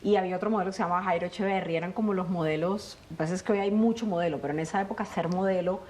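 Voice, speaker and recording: A middle-aged woman from Colombia, a guest in a conversational interview, telling family stories in an animated way and acting out the dialogue. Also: lowish voice, very fast, slight background noise. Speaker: guest, actress.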